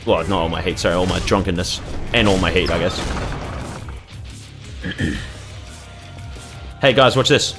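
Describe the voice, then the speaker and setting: A man's voice: low-pitched, measured pace, pauses often, a bit bright, soft background music. A young man casually commentating his gameplay, rambling and swearing freely as he reacts to the game. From Australia. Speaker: gaming YouTuber.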